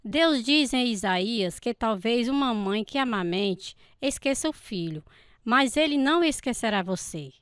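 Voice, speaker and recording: An actress playing a caring, devout Brazilian woman counselor, gently instructing in a scripted radio drama. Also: a little high, clear, resonant tone, no room sound, clean studio sound.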